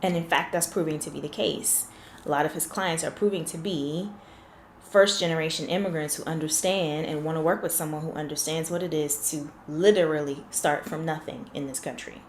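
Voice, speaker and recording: A woman's voice: low-pitched, fairly even intonation, brisk, roomy sound, slight background noise. A woman confidence coach in a one-on-one session, explaining with examples and asking probing questions.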